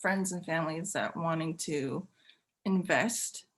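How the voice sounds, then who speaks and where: Woman on a call giving short unsure answers, talks slow. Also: sits low. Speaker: role-play partner playing a past client.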